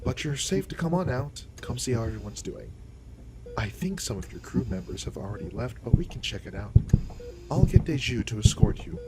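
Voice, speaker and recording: A man playing a calm alien doctor in a science fiction audio drama, explaining things in a measured, reassuring way. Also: mid-range voice, heavy background noise, close to an everyday voice.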